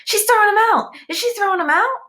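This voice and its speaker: A woman voicing schoolchildren in a storybook, gossiping and taunting with excited, needling delivery. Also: extremely high pitch, fast talker, voice pushed up. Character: gossiping, taunting schoolchildren egging each other on.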